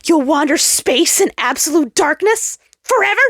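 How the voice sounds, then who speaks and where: A woman's voice: high-pitched, animated, moderate pace, fairly bright tone, dry recording, studio quality, voice pushed up. A woman voicing a defiant rebel planet in a dramatic story reading, declamatory and accusing. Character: defiant rogue planets rebelling against the stars.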